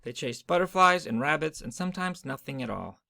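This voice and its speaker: A man's voice: on the high side, expressive, a little fast, gravelly, sharp tone, no echo, clean studio sound. A man narrating a children's story, read aloud from a storybook.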